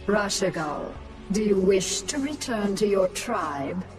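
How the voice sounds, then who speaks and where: A woman's voice: medium pitch, expressive, slow, far from the mic, soft background music, rough recording. A woman playing a cruel, smug alien queen in a video game, taunting and commanding. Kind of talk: dramatic video game acting.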